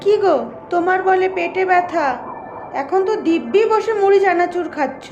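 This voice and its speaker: Very high-pitched, scolding village housemaid. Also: female voice, normal speed, muffled recording. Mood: scolding, sceptical. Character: chatty village housemaid and nagging wife and mother. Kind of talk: Bengali cartoon voice acting. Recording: roomy echo, loud music bed. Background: music.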